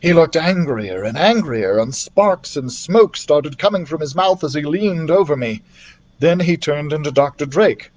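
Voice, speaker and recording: A man reading a children's adventure story aloud as a library storyteller, in an expressive narrating style. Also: fairly high pitch.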